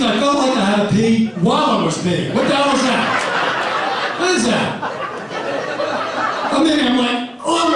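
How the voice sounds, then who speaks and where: A man in his fifties doing a stand-up set on a theater stage, loud and exasperated, sometimes breaking into shouts, with audience laughter behind him. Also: very high voice. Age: 50s. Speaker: stand-up comedian. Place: live comedy theater stage. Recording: roomy hall, distant, crowd noise.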